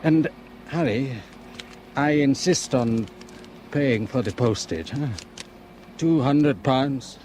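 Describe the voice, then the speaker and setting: An actor playing a smooth, scheming man who coaxes favours with hesitant, wheedling talk in a radio drama. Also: mid-range voice, slow pace, long pauses, a little mellow, a little hiss or hum, lightly acted. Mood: persuasive. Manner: wheedling, hesitant, ends with "huh?". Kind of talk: 1960s radio drama acting.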